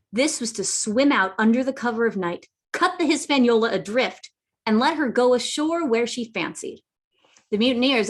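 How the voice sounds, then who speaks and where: A woman's voice: mid-range voice, fairly bright tone. A woman playing an earnest, plucky boy adventurer who narrates a radio play dramatically. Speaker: narrator and lead character.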